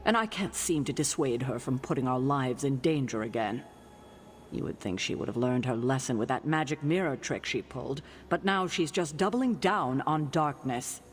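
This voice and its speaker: An actress playing a stern, distrustful middle-aged guardian of heroes in a video game, speaking formally and with exasperation. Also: female voice, a bass voice, a little hiss or hum. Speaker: leader and guardian of a team of heroes. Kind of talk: dramatic video game acting.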